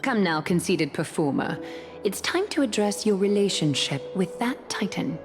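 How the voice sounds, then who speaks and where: A woman's voice: medium pitch, big pitch swings, moderate pace, soft background music. An actress playing a stately, commanding female guardian in a video game, formal, composed and icily authoritative. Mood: stern.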